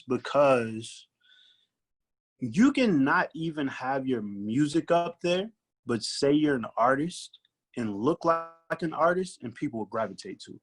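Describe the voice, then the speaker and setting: A man's voice: medium pitch, fairly bright tone. A man speaking as a guest artist in a casual podcast discussion, colloquial and thinking aloud with lots of filler phrases. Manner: colloquial, thinks aloud with repeated "like" and "you know".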